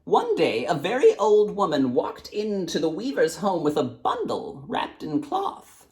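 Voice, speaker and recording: Gravelly blind grandmother, swooping high. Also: wildly swooping pitch, unhurried, darkish tone. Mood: reminiscing. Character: blind grandmother, smiling, secretive old village woman.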